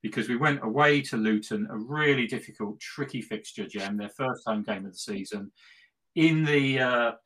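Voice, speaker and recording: A man's voice: lowish voice, sharp tone, low-quality recording. A middle-aged man chatting casually about football as a podcast co-host, gleeful and tongue-in-cheek, repeating himself for emphasis. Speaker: football podcast co-host and West Ham fan.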